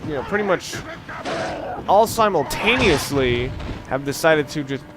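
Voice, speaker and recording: A man's voice: fairly high pitch, expressive, measured pace, over music. A man giving casual, unscripted video game commentary as a let's play host, rambling into musing, dryly humorous asides. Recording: clear background noise under the voice.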